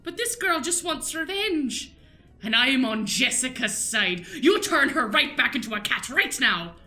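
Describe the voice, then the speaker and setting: A woman's voice: high-pitched, very animated, unhurried, sharp tone, faint music behind, full character voice. A woman playing an eager young intern, a witch's indignant confidant, in an improvised comic radio play.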